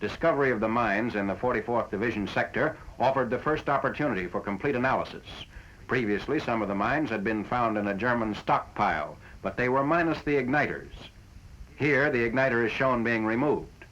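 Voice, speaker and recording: A man's voice: mid-range voice, lively intonation, a bit bright. Muffled 1940s male newsreel narrator. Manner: formal, factual, measured delivery. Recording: dull, noisy 1940s film soundtrack.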